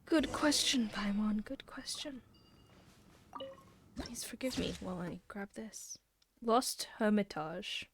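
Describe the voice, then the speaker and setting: A woman's voice: medium pitch, animated, slow pace, long pauses, noisy background. A woman livestreaming a video game with casual, playful, reactive commentary, swearing freely and muttering asides. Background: faint game music.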